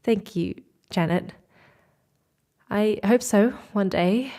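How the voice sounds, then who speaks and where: A woman's voice: medium pitch, slow pace, dark tone, lightly acted. An actress playing a guarded, grieving mother on a space mission in a sci-fi audio drama, polite and hesitant in her delivery. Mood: sad, subdued.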